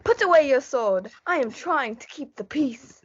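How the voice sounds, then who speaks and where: A young nobleman played by a female performer with a fairly high voice, friendly and practical, coaxing his companions in a student stage reading. The audio is dull and lo-fi. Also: lively intonation, normal speed, dry recording. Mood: urgent, pleading. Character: young male Montague, friendly peacemaking cousin, coaxing and practical. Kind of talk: student reader's theatre.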